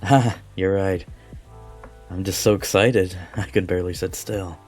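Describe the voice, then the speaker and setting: A man plays an earnest, gentle alien from a video game in a low voice. He is polite and measured, and music plays softly behind him. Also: steady tone. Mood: happy, excited. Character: gentle, earnest, modest male alien café regular. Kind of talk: visual novel fan dub.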